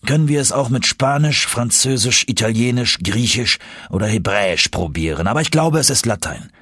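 A man voicing an excitable older professor uncle in a German audiobook, emphatic and commanding. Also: medium pitch, slightly rough, no room sound, studio quality, pitched above the performer's own voice. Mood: eager, certain. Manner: emphatic, triumphant exclamations and brisk orders.

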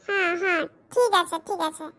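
Man as a high-voiced, nagging cartoon sidekick. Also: a little fast, heavy background noise. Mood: bossy. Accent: rural West Bengali. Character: bossy, teasing male sidekick in a sari business. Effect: pitched-up cartoon voice. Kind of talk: comic cartoon dialogue.

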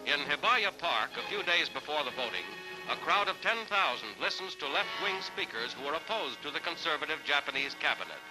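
Higher-pitched 1940s newsreel announcer, man. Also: lively intonation, brisk, over music, poor audio. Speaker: newsreel narrator. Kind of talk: 1940s newsreel scripted narration. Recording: vintage 1940s newsreel soundtrack.

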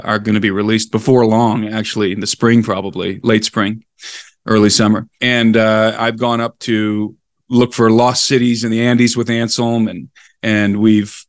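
A man's voice: mid-range voice, no room sound, clean studio sound. A man speaking as a podcast guest, telling history conversationally in an enthusiastic, explanatory and digressive manner. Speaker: podcast guest, explorer and researcher.

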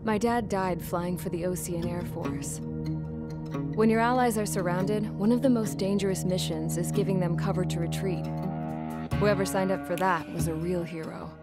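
Young female convict mechanic actress, lowish voice, sardonic and world-weary game narration over loud music. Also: moderate pace, natural voice. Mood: subdued, bitter. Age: young adult. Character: jaded young female mechanic imprisoned in a penal unit. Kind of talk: scripted dramatic monologue narration. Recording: dramatic music loud behind.

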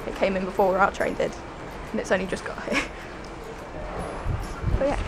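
A woman's voice: medium pitch, normal speed, noisy background. A woman in her twenties with an English accent, chatting casually in a vlog on a handheld camera. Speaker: vlogger and dog handler. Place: kitchen, train and station platform. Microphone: handheld camera at arm's length.

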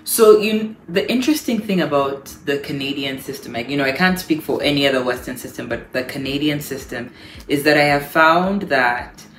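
A woman in her thirties from Uganda, a pastor giving lively, emphatic advice on a couch, repeating phrases for stress. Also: low-pitched, moderate pace. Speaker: pastor and guest. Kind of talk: casual advice talk. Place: living room, on a couch. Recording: roomy echo, faint music.

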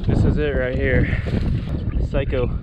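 A man's voice: medium pitch, a little slow, highs cut off. A man vlogging as he rides a dirt bike in the desert, chatting casually and giving laid-back tips on hill climbs. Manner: relaxed, chatty, instructive, laid-back slang. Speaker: motovlogger. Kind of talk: casual riding commentary and tips. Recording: loud wind noise on the mic. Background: motorcycle engine and wind.

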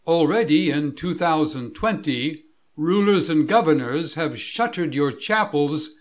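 Man, somewhat high pitch, very slow pace, deliberate scripted narration, measured delivery.

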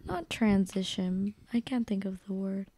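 A woman's voice: medium pitch, measured pace, warm tone, slight background noise. A young woman co-hosting a podcast from a hotel room, talking earnestly and reflectively, sometimes searching for words. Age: young adult. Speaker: podcast co-host. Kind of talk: casual chat. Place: hotel room, seated on bed.

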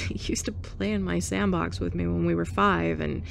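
A young woman in a scripted roleplay monologue, abrasive and sarcastic, with awkward soft laughs and sighs. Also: lowish voice, gravelly, slight background noise. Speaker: ASMR roleplay performer.

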